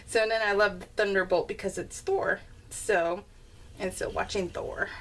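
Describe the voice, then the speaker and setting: A woman's voice: mid-range voice, fairly even intonation, a little slow, fairly bright tone, slight background noise. A middle-aged woman chatting casually and unscripted to viewers as a craft vlogger, in a giggly, rambling way. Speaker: craft vlogger presenting her scrapbook layouts. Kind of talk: casual, unscripted chat to viewers while showing a scrapbook.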